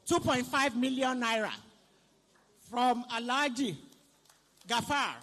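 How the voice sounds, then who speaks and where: High-voiced Nigerian man hosting in echoey hall. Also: steady tone, slow pace, pushed and bright. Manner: playful, repeats himself, calls out to guests. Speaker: event master of ceremonies. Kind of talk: live hosting at a fundraising book launch. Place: large event hall, on a PA microphone. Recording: echoey hall public address.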